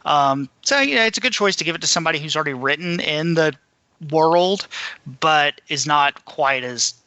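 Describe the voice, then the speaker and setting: A man's voice: fairly high pitch, animated, moderate pace, bright, forceful tone, clean tone, no room sound. A man co-hosting a podcast, discussing books in a casual, conversational way. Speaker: podcast co-host.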